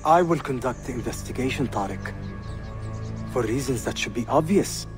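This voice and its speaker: A man's voice: medium pitch, lively intonation, normal speed, over music, lightly acted. An actor playing a courteous, self-assured young Ottoman prince in a video game. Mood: commanding. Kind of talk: video game cutscene acting.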